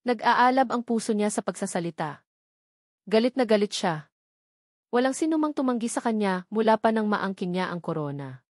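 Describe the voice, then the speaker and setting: A woman narrates a scripted story in a medium-pitched voice. The recording is very dry, with no room around the words. She reads as an audiobook narrator. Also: lively intonation, normal speed, a little mellow, no room sound.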